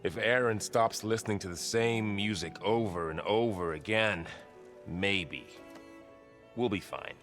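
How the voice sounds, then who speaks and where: Low-voiced, steady young warrior. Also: male voice, a little slow, soft background music. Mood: thoughtful, reassuring. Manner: calm, sincere, conversational. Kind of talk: naturalistic video game cutscene acting.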